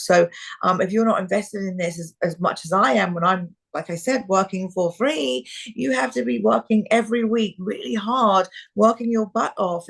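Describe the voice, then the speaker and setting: Slightly hoarse talent agent woman. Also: medium pitch. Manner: frank, many fillers and restarts. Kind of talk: live video call chat. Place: quiet room in a rental house. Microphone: video call.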